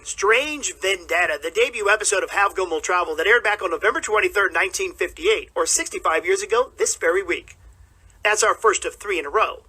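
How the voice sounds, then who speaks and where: A man presenting as a classic radio host in an upbeat style, with a husky edge to his voice. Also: sits high, a little fast, pushed and bright, slight background noise, poor audio.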